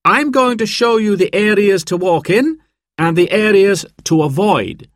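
A man's voice: high voice, measured pace, no room sound, studio quality, voice pushed up. A man voicing an upbeat male mountain guide with an emphatic, instructive manner in a storybook.

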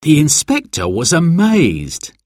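A concerned uncle from a children's storybook, read by a man. He speaks earnestly and takes his time, with expressive rises and falls in a slightly high male voice. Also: slow, no room sound, studio quality, full character voice.

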